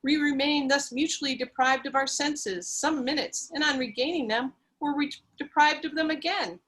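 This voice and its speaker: Theatrical high-voiced woman narrator. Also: moderate pace, fairly bright tone, rough recording. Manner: theatrical, breathless melodrama. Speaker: narrator and lead reader. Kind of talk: amateur dramatic reading over video call. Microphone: video call.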